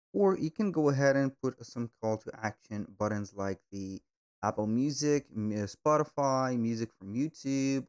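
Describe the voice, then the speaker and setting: A man hosting a step-by-step software tutorial, speaking in halting, repetitive phrases with slightly non-native grammar. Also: medium pitch. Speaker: tutorial host.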